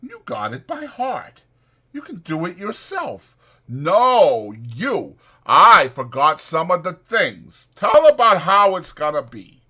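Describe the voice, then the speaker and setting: A man voicing a big, simple-minded, childlike ranch drifter who speaks slowly and haltingly, eager and pleading, in an audiobook. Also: sits high, very animated, sharp tone, slightly rough, voice pushed up, heavily acted. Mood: delighted, eager. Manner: slow, halting, emphatic speech.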